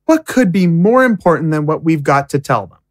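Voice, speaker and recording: Woman playing young idealistic prisoner, casual n indignant, animated, close dry sound, audio drama. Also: deep voice, brisk, no room sound. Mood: frustrated.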